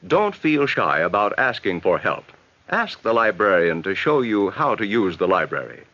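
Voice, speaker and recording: An American man reading scripted, instructive narration in a measured, advising way for a 1960s educational film. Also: fairly low pitch, sing-song, sharp tone. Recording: dull vintage 1960s film soundtrack.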